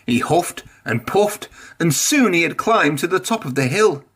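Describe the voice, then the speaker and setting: A man narrating a children's story aloud in an expressive read-aloud style. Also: fairly high pitch, a little slow, slightly rough. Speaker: storybook reader.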